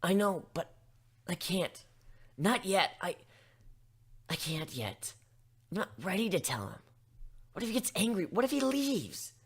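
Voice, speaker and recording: Woman voicing an anxious, husky young female griffin. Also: medium pitch, sing-song, full character voice. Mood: scared, hesitant. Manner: agitated, halting, stammering. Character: anxious, self-doubting young female griffin, newly pregnant. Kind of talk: fan fiction dramatic reading.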